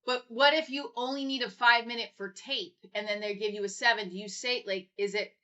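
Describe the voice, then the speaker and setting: A woman's voice: on the high side, fairly even intonation, fairly quick, bright, forceful tone. A woman hosting a casual livestream chat, asking loose, rambling questions with a self-deprecating streak. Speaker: livestream host. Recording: dull lo-fi stream audio.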